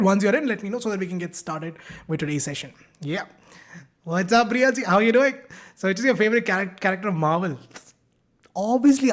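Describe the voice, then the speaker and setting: Upbeat young male teacher. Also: sits high. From India. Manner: upbeat, banters with students, code-switching slang. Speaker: online science teacher. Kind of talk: live online class, chatty.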